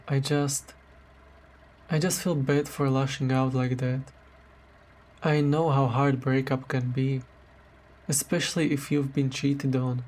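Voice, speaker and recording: Guy doing a sweet affectionate boyfriend for romance roleplay audio, unhurried slow talker, soft-hearted and reassuring. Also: mid-range voice, natural voice. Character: tender, apologetic boyfriend, caring and reassuring. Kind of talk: romantic roleplay audio drama, intimate one-sided dialogue.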